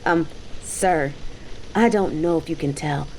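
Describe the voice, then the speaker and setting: A woman's voice: sits low, measured pace, a little mellow, a little hiss or hum, rough recording. A woman playing a cocky, dominant bounty hunter in an audio roleplay, with a mocking, taunting, slangy delivery. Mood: irritated, dry. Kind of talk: scripted roleplay audio drama.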